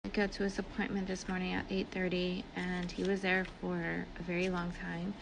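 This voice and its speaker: A woman's voice: lowish voice, monotone, brisk, creaky, slight background noise, rough recording. A woman telling a personal story in a casual, exasperated and emphatic way. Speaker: online small business owner.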